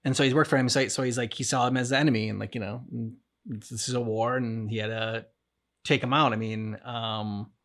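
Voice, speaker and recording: Halting, casual male discussion host. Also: medium pitch, steady tone, a bit bright. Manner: halting, rambling, searching for words. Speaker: reaction show host. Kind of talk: casual discussion of a game's story.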